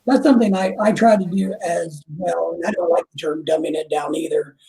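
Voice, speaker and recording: Casting: man with a mellow, dark voice, curious co-host, roomy echo. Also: fairly high pitch, fairly even intonation, fairly quick, gravelly. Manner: curious, asks questions with careful hedging. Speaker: podcast co-host. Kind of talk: casual chat.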